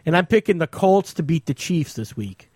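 A man's voice: a little high, very animated, moderate pace, darkish tone, no room sound, clean studio sound. A man hosting a podcast, talking sports casually in blunt, profane language. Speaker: podcast host.

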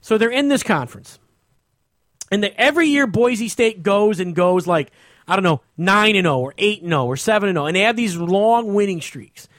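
A man's voice: sits high, animated, normal speed, a bit bright, dry recording. An American man on a casual sports talk show, ranting in an exasperated, emphatic way and repeating himself. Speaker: podcast host.

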